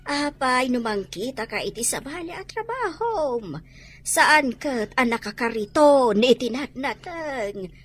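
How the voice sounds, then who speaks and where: A woman's voice: sits high, lively intonation, measured pace, a bit bright, a little hiss or hum. A woman acting a worried, chiding mother in a comedy drama.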